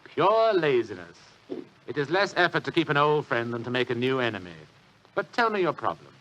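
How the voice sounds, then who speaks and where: An actor playing a lazy, pompous, shrewd British government official with a grumbling, dryly superior manner, in 1940s radio drama style. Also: male voice, mid-range voice, big pitch swings, brisk, fairly bright tone, highs cut off. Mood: amused, courteous.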